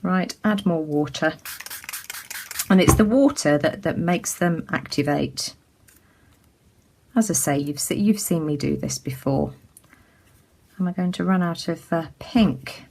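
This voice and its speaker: A woman from the UK giving a casual craft tutorial as she works, chatty and full of ums, her voice a little croaky. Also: low-pitched, unhurried, pauses often, darkish tone, slight background noise. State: slightly croaky. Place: indoors at a craft table.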